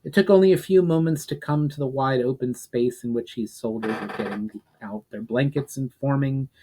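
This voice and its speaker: A man's voice: mid-range voice, normal speed, mellow, slight background noise, rough recording. A man giving a dramatic scripted narration of a fantasy story as a storyteller. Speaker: author and storyteller.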